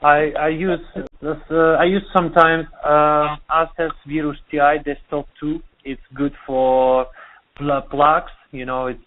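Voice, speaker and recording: A man from Russia with a Russian accent, speaking halting English as a DJ being interviewed, pausing to search for words. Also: on the high side, flat delivery. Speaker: DJ and producer. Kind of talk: interview over the phone. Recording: tinny phone line.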